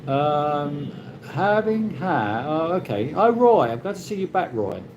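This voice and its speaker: A British man hosting a casual live chat, reading out viewer comments and answering in a rambling, halting way with many restarts. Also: a little high, sing-song, muffled recording. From Britain. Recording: noisy ambient background.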